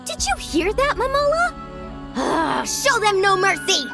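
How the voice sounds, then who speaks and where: A woman playing a perky, competitive young girl athlete in an anime game, eager and expressive. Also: extremely high pitch, unhurried, creaky, loud music behind the voice, exaggerated voice. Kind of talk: anime game character acting.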